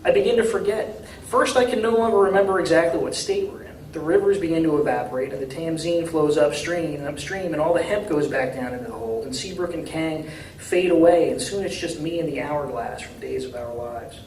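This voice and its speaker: A man giving a live reading of literary fiction in a measured, deliberate way, recorded from a distance in a room. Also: on the high side, a little mellow. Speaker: author reading from his novel. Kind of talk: live literary reading, dramatic first-person narration. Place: indoor reading at a university. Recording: distant, echoey room with faint noise.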